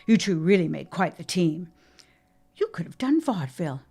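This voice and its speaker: A woman's voice: lowish voice, sing-song, normal speed, warm tone, husky, heavily acted. An actress playing a stubborn, sentimental middle-aged widowed mother in a period audio drama, theatrical and insistent with old-fashioned phrasing. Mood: wistful.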